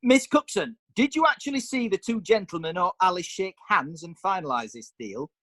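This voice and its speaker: A performer playing a formal, measured advocate questioning witnesses in a period courtroom radio play. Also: mid-range voice, animated, fairly bright tone, no room sound.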